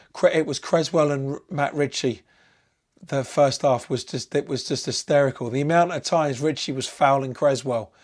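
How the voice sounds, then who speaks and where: Croaky-throated football fan. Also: male voice, mid-range voice, a little mellow. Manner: rambling, many restarts, enthusiastic. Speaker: football fan channel host. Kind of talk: solo match reaction vlog.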